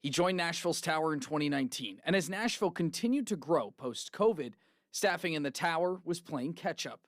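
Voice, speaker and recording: An American male TV reporter delivers scripted news narration. His voice sits a little high for a man, and the recording is very dry and close, with no room sound. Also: expressive, a little fast, no room sound.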